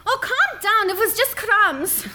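A woman's voice: extremely high pitch, expressive, unhurried, bright, forceful tone, exaggerated voice. A woman voicing a bratty, scheming young girl who bickers and giggles in a comedic audio drama. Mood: worried.

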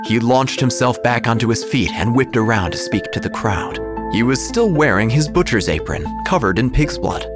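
A man narrating a story in the first person, his voice rising and falling in a lively way. His delivery is dry and wry, with a mid-range pitch, in a scripted drama. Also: moderate pace, music in the background. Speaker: narrator and obituary writer character.

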